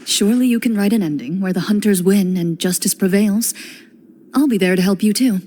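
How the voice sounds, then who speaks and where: A woman voicing a calm, stern duelist. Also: mid-range voice, a little hiss or hum, natural voice. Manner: calm, measured, quietly commanding. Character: composed, stern female duelist, young adult. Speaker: game character, a duelist hunter. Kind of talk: video game cutscene voice acting.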